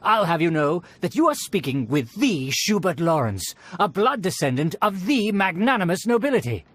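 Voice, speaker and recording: A man's voice: high-pitched, sing-song, normal speed, fairly bright tone, no echo, exaggerated voice. A man playing a pompous, contemptuous nobleman in a video game, speaking formally and with disdain. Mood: haughty, disdainful. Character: haughty, pompous male nobleman, contemptuous and indignant. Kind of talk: theatrical video game voice acting.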